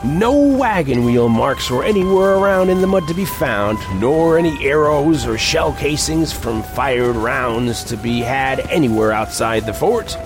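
A man playing an earnest reporter who narrates an eerie western expedition in a deliberate, descriptive way for an audio drama. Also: mid-range voice, animated. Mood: earnest, foreboding. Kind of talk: first-person scripted audio drama narration. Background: music.

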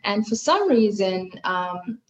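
A woman's voice: mid-range voice, very animated, takes it slowly, muffled recording. A middle-aged woman from Fiji, whose first language is Hindi, chatting animatedly as a podcast guest with many fillers and restarts. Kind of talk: casual interview chat.

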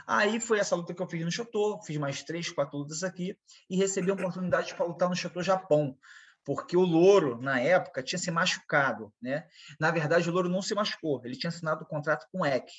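Husky Brazilian fighter telling stories. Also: male voice, fairly high pitch, fast talker. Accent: Brazilian Portuguese. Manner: rambling, repeats himself, quotes others in dialogue. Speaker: MMA fighter, guest. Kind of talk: casual interview storytelling.

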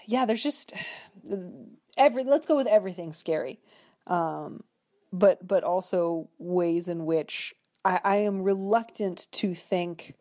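A woman with a low-pitched voice talks as a guest in a conversational interview. She is reflective and hesitant, building long sentences and revising them as she goes. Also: darkish tone. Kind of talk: conversational interview, literary discussion.

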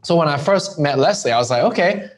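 A man's voice: a little high, fast talker. A man who grew up in Hong Kong, a guest in an interview, telling his story casually and often checking in with "right?". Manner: relaxed storytelling with frequent "right?" tags. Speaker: entrepreneur guest. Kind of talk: conversational interview.

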